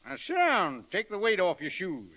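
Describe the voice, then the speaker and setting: A man's voice: high voice, huge pitch swings, moderate pace, bright, forceful tone, exaggerated voice. An actor playing a boisterous, folksy American frontier man in slangy 1930s radio comedy style, on an old recording. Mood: folksy, insistent.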